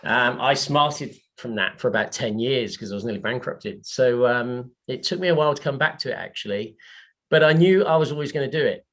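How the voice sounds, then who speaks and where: A man, a panel guest who talks in a halting way with many restarts, over a video call. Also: medium pitch, fairly bright tone. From England.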